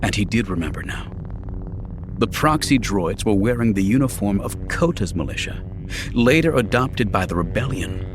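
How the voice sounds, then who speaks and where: A man reading dramatic science fiction narration for an audiobook in a measured, serious, polished way. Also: on the low side, lively intonation, noisy background.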